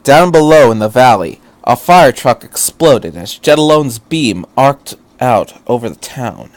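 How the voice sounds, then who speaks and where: A man's voice: mid-range voice, animated, measured pace. Man dramatically narrating a fan fiction story.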